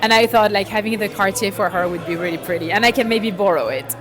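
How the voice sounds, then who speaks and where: A middle-aged woman from France, talking casually in an interview, animated and laughing, often trailing off and restarting. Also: mid-range voice, fast, music in the background. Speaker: interviewee, watch collector. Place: busy indoor event. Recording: crowd chatter behind.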